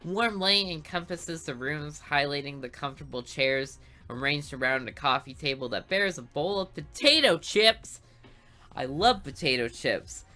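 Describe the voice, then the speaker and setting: A woman's voice: mid-range voice, fairly bright tone. A woman hosting a gaming video, reading a visual novel aloud expressively with her own asides.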